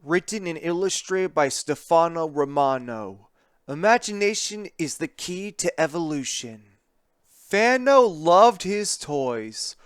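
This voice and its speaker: Slow, very animated storybook narrator. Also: mid-range voice, clean tone, no room sound. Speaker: narrator of a picture book. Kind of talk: expressive children's storybook read-aloud.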